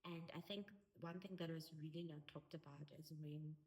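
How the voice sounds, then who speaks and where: Low-voiced South African woman in her thirties. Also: fairly even intonation, normal speed, a little mellow. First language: Afrikaans. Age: 30s. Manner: open and reflective, laughs easily. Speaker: guest. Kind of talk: candid personal interview.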